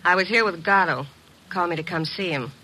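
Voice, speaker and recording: Tough, brassy Broadway dame. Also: female voice, on the low side, a little fast, bright, forceful tone, rough recording. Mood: sardonic, defensive. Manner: terse, slangy, sardonic. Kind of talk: 1950s radio detective acting.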